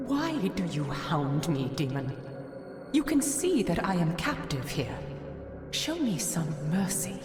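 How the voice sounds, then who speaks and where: A woman's voice: fairly low pitch, very animated, slow. An actress playing a bitter, imprisoned female ghost who speaks solemnly and pleads for mercy. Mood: pleading. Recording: loud music and rain behind.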